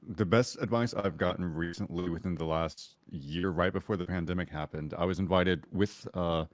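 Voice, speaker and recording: A man with a smooth, buttery voice chatting casually as a guest on a podcast about voice acting. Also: low voice, moderate pace, no echo.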